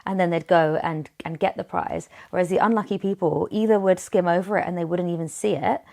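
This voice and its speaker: A woman's voice: lowish voice, steady tone, a little fast, gravelly, mellow. A woman co-hosting a podcast, talking earnestly in casual chat and retelling a study at length. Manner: earnest, tells stories at length with fillers. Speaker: podcast co-host.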